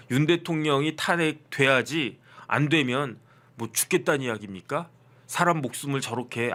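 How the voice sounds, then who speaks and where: Man, fifties, lawyer host, slightly high voice, rhetorical, wry asides. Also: a bit bright. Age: 50s. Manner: rhetorical questions answered himself, wry asides. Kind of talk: casual political commentary.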